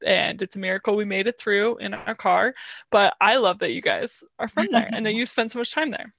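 A woman's voice: medium pitch, fairly quick, creaky, no echo. A woman co-hosting a podcast, enthusiastic as she reacts to her guests and asks questions. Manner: enthusiastic and warm-hearted. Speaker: podcast co-host. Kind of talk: podcast intro and interview.

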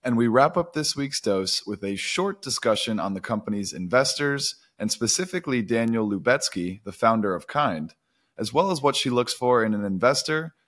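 A man's voice: on the low side, lively intonation, fairly quick, fairly bright tone. A man co-hosting a podcast, speaking in a friendly, conversational way as he introduces and interviews. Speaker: podcast co-host.

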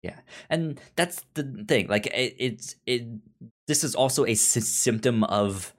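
A man co-hosting a podcast, discussing comics casually, haltingly, often repeating and stuttering over words as he goes. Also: mid-range voice, animated. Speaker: podcast co-host.

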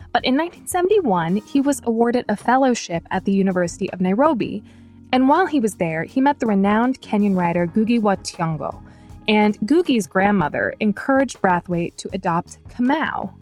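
A woman's voice: mid-range voice, a little mellow. A woman hosts a narrative interview show in a mid-range voice. She shifts between conversational questioning and scripted narration, with faint music behind her.

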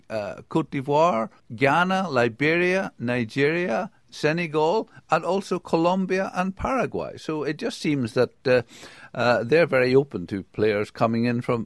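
A man's voice: medium pitch, no room sound, clean studio sound. A man from the UK giving a conversational football report, enthusiastic, with hesitations and restarts. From United Kingdom.

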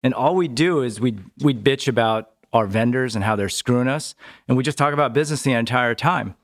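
A man talking as a podcast guest in a relaxed, chatty way, often tagging his points with a quick question. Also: medium pitch. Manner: relaxed, chatty, tags sentences with "right?". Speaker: podcast guest, business owner. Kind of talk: casual conversational interview.

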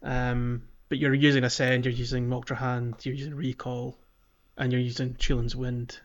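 A man's voice: mid-range voice, level tone, normal speed. A man co-hosting a podcast, chatting casually about games on a slightly lo-fi recording. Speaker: podcast host. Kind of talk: casual gaming podcast chat. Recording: slightly dull, lo-fi sound.

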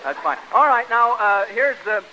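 High-voiced jovial old-time radio game show host. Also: male voice, expressive, moderate pace, bright, forceful tone. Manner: jovial, stammering, teasing. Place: radio show stage before an audience. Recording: noisy old radio broadcast.

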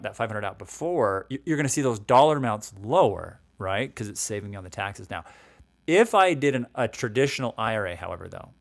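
A man's voice: on the low side, no room sound. A man in his forties explaining retirement savings and taxes in a conversational way, often checking in with "right?" and restarting sentences. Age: 40s. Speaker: financial educator.

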